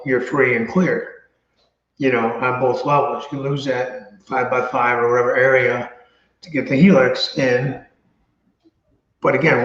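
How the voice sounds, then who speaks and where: Man chatting casually in a roomy space. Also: mid-range voice. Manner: thinks out loud, meandering. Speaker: show host. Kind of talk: casual chat. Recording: roomy, slightly dull audio.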